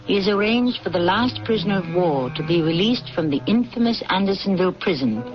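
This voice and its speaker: A host narrates a vintage radio drama from a script. The sound is muffled, like an old broadcast, with clear music underneath. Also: medium pitch.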